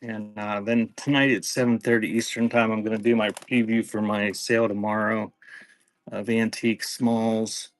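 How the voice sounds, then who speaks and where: A man's voice: lowish voice, little pitch movement, normal speed, no echo. Man calling a casual live online auction. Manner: clipped announcements mixed with casual asides to viewers. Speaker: live auction seller and host. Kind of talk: live-stream sales auction, calling out items in short phrases.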